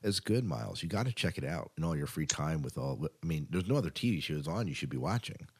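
A man with an American accent and a notably low voice hosts a podcast. He talks informally and chattily, sometimes doubling back to repeat a phrase. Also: animated, fast talker, slightly rough. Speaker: podcast host. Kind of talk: casual podcast chat and show rundown.

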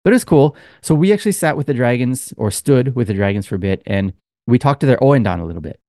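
A man's voice: medium pitch, expressive, quick pace, dark tone, dry recording, studio quality. A man co-hosting a podcast, telling a long, rambling story in casual chat with lots of fillers. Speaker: podcast co-host.

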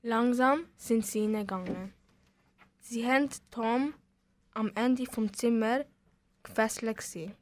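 Higher-voiced Swiss female narrator. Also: unhurried, pauses often, slight background noise. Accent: Swiss German. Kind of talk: amateur radio play narration.

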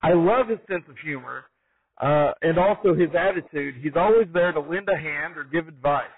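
A man hosting a podcast interview, speaking in short, concise questions and answers over a narrow phone-like line. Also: fairly high pitch.

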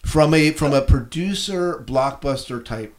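A man hosting a casual podcast chat, repeating words and halting as he puts points to his co-hosts. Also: on the high side, animated. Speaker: podcast host.

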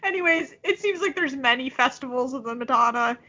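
A woman's voice: sits high, lively intonation, fairly quick, bright, forceful tone. A woman with a nasal Midwestern accent, co-hosting a podcast and chatting casually with wry, dry asides. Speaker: podcast co-host. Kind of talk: casual chat recapping a TV episode. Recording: dull lo-fi podcast audio.

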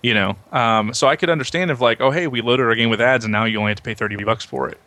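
A man's voice: medium pitch, rapid-fire, sharp tone, no room sound. Man chatting casually, dry close sound. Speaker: video game podcast host. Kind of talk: casual chat.

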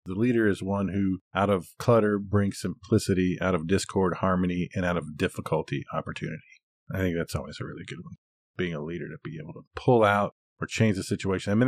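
A man's voice: low voice, dry recording. A man co-hosting a podcast, talking in a casual, reflective and thoughtful manner. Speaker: podcast co-host.

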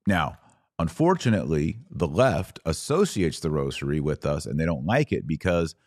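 Male podcast host, very low voice, dry close sound, earnest and conversational, turns indignant. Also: sing-song, normal speed, darkish tone, no echo. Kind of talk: livestream podcast reading viewer comments.

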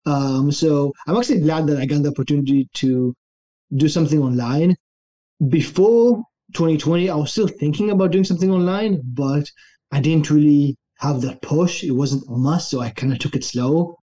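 A young adult man from Israel tells his story with an easygoing, relaxed manner. His voice sits a little high and sounds soft and mellow. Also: moderate pace, lo-fi sound. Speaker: guest, online fitness coach. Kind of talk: casual interview storytelling.

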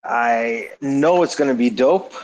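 A man hosting a live online audio chat, introducing speakers and giving quick, encouraging replies. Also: medium pitch, darkish tone, poor audio. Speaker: host.

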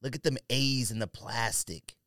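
A man's voice: mid-range voice, normal speed, bright, forceful tone, no echo, clean studio sound. A man co-hosting a sneaker podcast in casual, unscripted banter, talking in slang and giving blunt opinions. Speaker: podcast co-host reviewing sneakers.

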